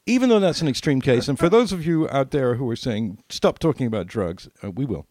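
A man's voice: mid-range voice, animated, fairly quick, a little mellow, no echo. An older man telling anecdotes casually as a podcast co-host, acting out bits of dialogue as he reminisces.

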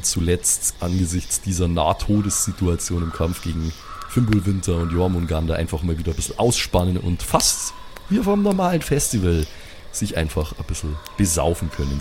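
A man with a very deep voice tells an improvised tabletop story as game master. His delivery is colloquial yet dramatic, and there is faint ambient noise behind him. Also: darkish tone.

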